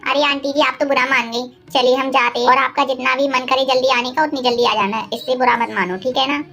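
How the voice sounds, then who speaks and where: A woman's voice: high voice, fairly even intonation, fairly quick, gravelly, bright, forceful tone, soft background music, exaggerated voice. A woman voicing polite, chatty young women of a neighbourhood in a cartoon moral story, explaining things politely. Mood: polite, assured.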